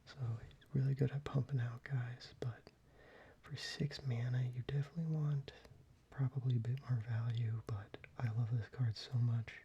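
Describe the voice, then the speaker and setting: An ASMR creator whispering softly and closely, with frequent ums and restarts while showing their collection. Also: mid-range voice, steady tone, moderate pace, muffled recording, slight background noise.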